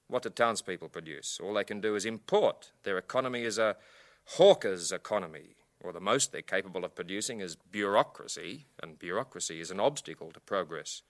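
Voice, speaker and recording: Radio drama role: stern, didactic villager, bright forceful delivery, animated intonation. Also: male voice, fairly low pitch. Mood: firm. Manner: blunt, didactic. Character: stern, dismissive village man lecturing a dejected woman. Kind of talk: 1980 radio drama acting.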